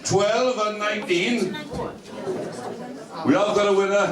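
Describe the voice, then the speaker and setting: A man's voice: high-pitched, fairly even intonation, measured pace, crowd noise. A man announcing a prize draw live in a club hall, with informal banter. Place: large indoor club hall with noisy crowd. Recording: echoey crowded hall from far off.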